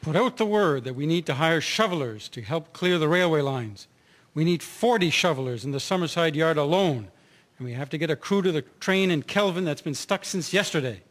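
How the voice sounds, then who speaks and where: A man's voice: fairly high pitch, lively intonation, brisk, fairly bright tone. A man playing a businesslike railway boss giving orders in a historical radio drama. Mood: urgent, businesslike. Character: businesslike railway boss organising snow-clearing crews.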